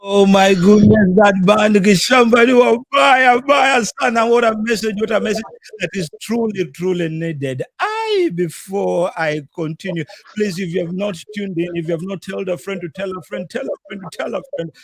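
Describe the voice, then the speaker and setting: A man's voice: high-pitched, expressive, normal speed, no room sound, poor audio. A Kenyan man from Nairobi hosting an online talk show, hyping his audience and welcoming a guest with enthusiasm. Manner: hyped, enthusiastic promoter. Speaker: host.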